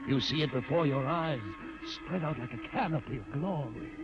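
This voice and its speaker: An actor in a vintage radio drama playing a learned, commanding rebel leader with a measured, grandiose, theatrical delivery. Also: male voice, medium pitch, music in the background, lightly acted. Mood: grandiose, intense. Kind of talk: 1950s radio drama acting. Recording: dull, muffled vintage radio recording.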